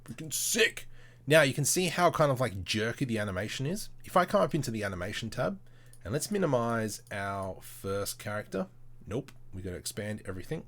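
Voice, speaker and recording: A man hosting a casual live software tutorial, thinking aloud in short, informal, slangy step-by-step phrases. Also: medium pitch, sing-song, brisk. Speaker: tutorial host, livestreamer. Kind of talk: casual live screen-share tutorial commentary.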